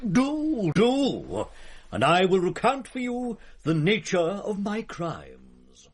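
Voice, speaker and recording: Elderly male ghost, played by a man, pompous and boastful, lively highish delivery for radio comedy-drama. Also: slow pace, husky, heavily acted. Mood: smug, genteel. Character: smug, proud, elderly male murderer's ghost smoking a pipe. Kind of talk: British period radio comedy-drama acting.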